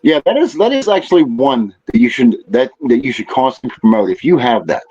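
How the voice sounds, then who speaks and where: Guy guest on a podcast, mid pitch, halting casual talk, bit muffled lo-fi sound. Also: lively intonation, a little mellow, husky. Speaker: podcast guest, fishing tournament organizer. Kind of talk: casual chat.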